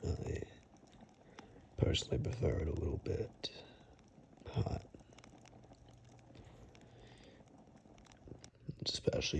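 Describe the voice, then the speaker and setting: A man playing a reclusive, kindly but gruff woodsman in an ASMR roleplay, murmuring haltingly and gently to the listener, sometimes in a whisper, with dry teasing. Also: background noise, exaggerated voice. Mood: calm, reassuring. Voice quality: very deep, gravelly. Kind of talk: ASMR roleplay, soft intimate monologue to the listener.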